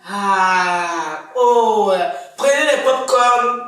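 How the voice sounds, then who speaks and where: Lively woman co-host telling a story, sounds far off in an echoey room, soft music. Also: medium pitch, very slow. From Ivory Coast heritage. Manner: animated, storytelling with laughs. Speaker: podcast co-host. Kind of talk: casual chat.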